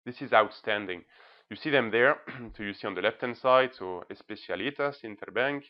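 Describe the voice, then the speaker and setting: A man's voice: lowish voice, moderate pace. A man giving an informal, emphatic presentation as a blogger, often checking in with short tag words. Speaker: presenter, blogger reviewing banks' social media. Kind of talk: informal screencast-style presentation. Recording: slightly dull, lo-fi sound.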